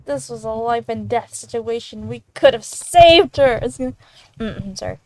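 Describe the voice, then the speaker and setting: A woman's voice: on the high side, animated, moderate pace, darkish tone, no room sound, poor audio. A giggly, apologetic woman chatting casually as she streams a game from home. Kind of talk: casual chat while playing a game. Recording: home mic with clear fan and TV noise.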